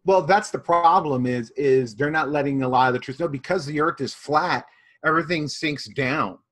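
A man playing an earnest, deadpan conspiracy believer who explains his theories in a halting way. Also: fairly high pitch. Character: earnest conspiracy-minded man, flat-earther. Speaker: guest. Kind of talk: improvised comedy character.

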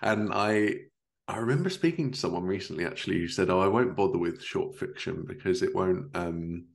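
A man's voice: low-pitched, a little fast, creaky. A man in his thirties hosting a casual interview, with an informal, affirming way of talking. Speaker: podcast host. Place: living room at home, indoors, video call.

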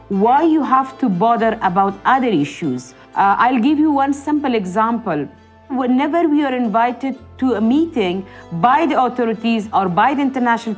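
A woman in her thirties with an Afghan accent, a former politician speaking earnestly and firmly in a documentary interview, seated indoors. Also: fairly high pitch.

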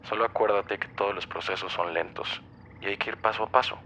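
A man's voice: fairly low pitch, fairly even intonation, a little fast, sharp tone, a little hiss or hum, poor audio. A man playing a concerned, level-headed boyfriend in an audio drama, with a cautious, reassuring manner. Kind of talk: naturalistic audio drama acting.

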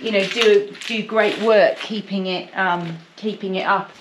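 A woman's voice: mid-range voice, fairly even intonation, unhurried. A middle-aged woman chatting casually and enthusiastically as she shows viewers around on a walk-through tour. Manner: enthusiastic, easily impressed. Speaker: vlogger showing viewers around. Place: walking outdoors and through open bush shacks. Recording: noisy on-the-move camera audio.

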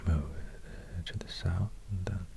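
A man with a Swedish accent speaking in soft ASMR whispers, halting and murmuring as he goes. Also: low-pitched, steady tone, vocal fry. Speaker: ASMR video host. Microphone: close binaural microphone.

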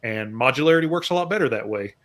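Man, video call, co-presenter, measured explanations, mid-pitched voice. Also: animated, a bit bright. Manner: explanatory, measured, adds follow-ups. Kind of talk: conference talk over video call.

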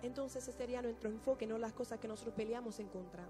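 A woman's voice: a little high, racing, music in the background. A woman interpreting a sermon earnestly into a handheld microphone on a church stage.

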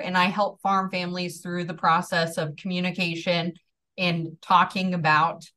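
A woman in her thirties with a general American accent, telling her background in a relaxed, earnest way as an interview guest, with frequent ums. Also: mid-range voice, recorded from a distance. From Northeast Georgia, USA. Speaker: farm transition specialist guest. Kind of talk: conversational interview storytelling.

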